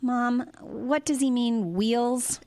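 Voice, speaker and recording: Actress as a weary, slow-spoken skeptical daughter. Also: female voice, on the high side, dark tone. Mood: incredulous. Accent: American. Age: 30s. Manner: hesitant, dry, questioning. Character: weary, skeptical laid-off daughter in her late thirties. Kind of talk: audio drama acting.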